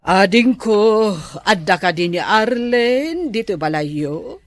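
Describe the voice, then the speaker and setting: A middle-aged aunt in an Ilocano radio drama, played by a woman. She speaks slowly and expressively in a dry recording, syrupy on the surface and demanding underneath. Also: mid-range voice, expressive, no room sound. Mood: syrupy concern.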